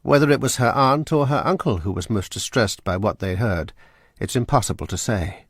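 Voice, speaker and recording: A man narrating a radio drama in a measured, grave manner. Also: on the low side, breathy edge, no room sound, clean studio sound. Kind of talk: scripted narration.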